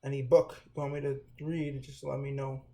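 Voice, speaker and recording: A man hosting a children's read-aloud, reading a storybook with a somewhat halting delivery. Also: medium pitch, little pitch movement, mellow.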